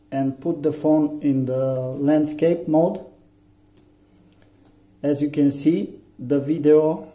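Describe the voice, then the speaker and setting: A man with a Romanian accent speaks slowly and haltingly, pausing on fillers as he walks through phone settings. His voice is very dark and mellow. The sound is echoey and a little dull, like a lo-fi recording in a room. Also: fairly high pitch. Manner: halting, many fillers, plain instructions. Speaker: tech tutorial maker. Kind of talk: hands-on phone tutorial. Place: room indoors, phone on a table.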